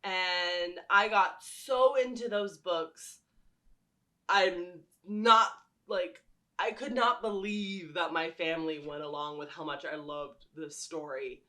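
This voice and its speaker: A woman's voice: lowish voice, slow, bright, forceful tone. A woman talking casually to her viewers as a vlogger, telling stories in an enthusiastic, rambling way. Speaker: vlogger and fan fiction writer. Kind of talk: casual chatty talk to viewers.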